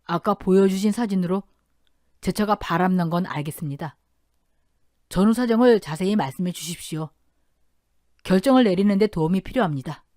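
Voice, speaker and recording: A woman's voice: medium pitch, normal speed, pauses often, darkish tone, dry recording, clean studio sound, natural voice. A woman voicing a stern, dignified elderly father-in-law with a firm, commanding manner in an audio drama. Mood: grave.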